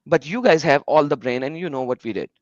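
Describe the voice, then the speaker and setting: Combative high-voiced male debater. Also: expressive, fast, no room sound, highs cut off. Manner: combative, indignant. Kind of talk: live online debate Q&A.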